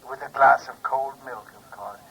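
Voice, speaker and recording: A coolly polite rich client in an old radio detective play. His manner is formal, fussy and unbothered, his pitch average for a man. He sounds like a telephone voice on a vintage recording. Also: steady tone, moderate pace, vocal fry, fairly bright tone, rough recording. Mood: cool, indifferent. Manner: formal, unruffled, clipped politeness. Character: cool, callous wealthy male client, polite and fussy. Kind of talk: 1950s radio drama acting.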